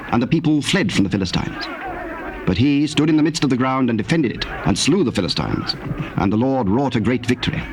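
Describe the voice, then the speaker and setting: Formal male scripture narrator, faint tape noise. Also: mid-range voice. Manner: formal, measured storytelling. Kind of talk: scripted scripture narration, King James Bible. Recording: old cassette tape, faint background noise.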